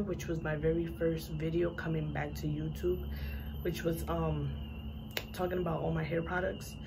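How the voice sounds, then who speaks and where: A young woman with a raspy voice, just up from sleep, chatting casually through a hair product review in a small bathroom. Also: on the low side, background noise. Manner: chatty, rambling with fillers. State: just woke up. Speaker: beauty vlogger. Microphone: phone camera at arm's length.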